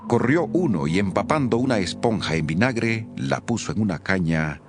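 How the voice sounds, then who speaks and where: A man narrating scripture from a script in a solemn, expressive manner. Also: lowish voice, moderate pace, music in the background.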